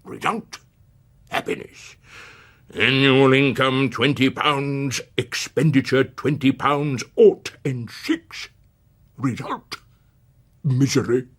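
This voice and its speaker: An actor voicing a grandiloquent, melodramatic middle-aged English gentleman debtor, declaiming his woes with pompous deliberation in a radio drama. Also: mid-range voice, takes it slowly, voice pushed up.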